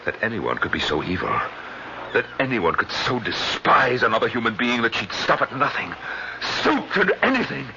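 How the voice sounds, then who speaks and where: Jealous, menacing husband, wildly swooping voice. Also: male voice, mid-range voice, sing-song, normal speed, bright, forceful tone, full character voice. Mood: bitter accusation. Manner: theatrical, sarcastic, taunting. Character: jealous, paranoid husband, bitterly accusing and menacing. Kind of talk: 1970s radio drama acting. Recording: muffled, noisy old radio recording.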